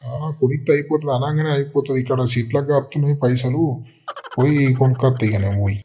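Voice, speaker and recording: A man's voice: mid-range voice, fairly even intonation, fast, dark tone, a little hiss or hum, close to an everyday voice. A man voicing a grumbling, sarcastic village father in a comedy cartoon, with a Telangana accent. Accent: Telangana Telugu. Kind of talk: Telugu comedy cartoon dialogue.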